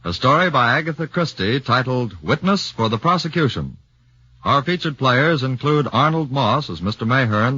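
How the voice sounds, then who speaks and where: Radio announcer, male, declamatory and lively; dry, muffled 1940s broadcast. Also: mid-range voice, slightly rough, no room sound. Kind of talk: formal broadcast introduction.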